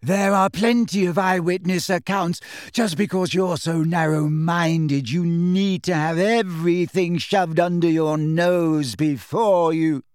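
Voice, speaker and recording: A man voicing a solemn, dreamy, stubbornly credulous teenage girl with a drawn-out delivery in a storybook. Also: a little high, steady tone, darkish tone, smooth, dry recording, studio quality, pitched above the performer's own voice. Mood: angry. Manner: solemn, drawn-out, wide-eyed conviction.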